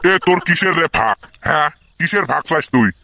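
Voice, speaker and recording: Grumpy stingy village dad, acted by a man, older, scolding, slightly high pitch, northern Bengal accent, faint noise. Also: lively intonation, a little fast, pushed and bright, full character voice. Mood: angry, scolding. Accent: northern Bengal (Cooch Behar region). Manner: gruff, scolding, coarse-mouthed. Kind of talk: comedy cartoon voice acting in rural dialect.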